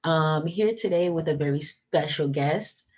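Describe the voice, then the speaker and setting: A woman's voice: sits low, measured pace. A woman in her twenties hosting a podcast in casual, upbeat chat, full of "like" and "um". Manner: chatty, upbeat, lots of "like" and "um". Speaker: podcast host.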